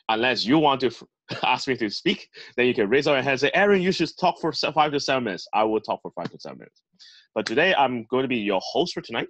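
A man's voice: mid-range voice, fairly bright tone, no room sound. A man hosting an online club meeting over a video call, introducing panelists in an upbeat emcee manner. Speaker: host.

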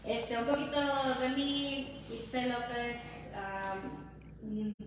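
A woman with a Mexican Spanish accent explains things conversationally in a strongly echoing room. She sounds a bit away from the microphone, with clear noise behind her. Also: on the high side, takes it slowly, distant mic. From Hidalgo, Mexico. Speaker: interview guest. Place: indoor lounge nook with brick wall and concrete floor. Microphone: distant camera or phone. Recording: echoey, noisy room, off-mic.

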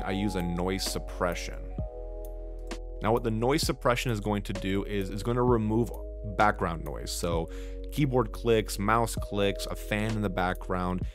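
Low-voiced young man explaining software settings. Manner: steady step-by-step instructions. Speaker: streaming tutorial creator. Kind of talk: screen-recorded software tutorial. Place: small dim bedroom studio with blue lighting. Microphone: studio mic on boom arm.

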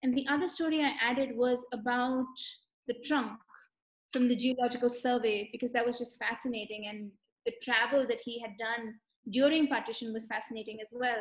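A young woman tells stories in a high, bright register. She lingers on vivid details as she speaks. The video call audio carries a noticeable room echo. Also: steady tone, a little fast. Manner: warm storyteller, lingering on striking details. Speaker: author being interviewed. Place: room with bookshelves, indoors.

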